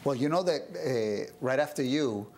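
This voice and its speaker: A man's voice: mid-range voice, moderate pace, darkish tone, a little hiss or hum. A man hosting a TV talk show, interviewing guests in a playful, teasing way. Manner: playful, teasing, slips into Spanish. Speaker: TV show host. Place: TV studio.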